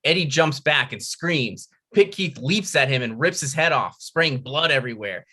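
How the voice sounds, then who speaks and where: A man's voice: mid-range voice, lively intonation, moderate pace, sharp tone, breathy edge. A man reading out scripted stage directions as narrator at a comedy table read.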